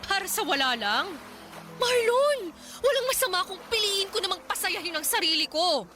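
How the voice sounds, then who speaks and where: A woman's voice: very high voice, animated, normal speed, over music. A woman with a Filipino accent playing a hot-tempered, defiant wife in a radio drama, sarcastic and confrontational. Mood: angry, defiant.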